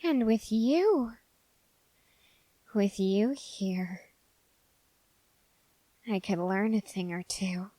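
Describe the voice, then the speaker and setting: Woman voicing an intense, slow-speaking obsessive young soldier boy. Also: medium pitch, expressive, dark tone, dry recording. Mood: insistent, reverent. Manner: intense, halting, formal phrasing, addressing listener directly. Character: obsessive, ecstatic young male soldier fascinated by demons. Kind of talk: ASMR audio roleplay.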